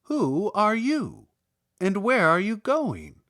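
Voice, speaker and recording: A man voicing a kind, brisk, motherly stork in a storybook, with a matter-of-fact, helpful manner. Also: high-pitched, wildly swooping pitch, a bit bright, no echo, studio quality, pitched above the performer's own voice, full character voice. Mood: curious, brisk.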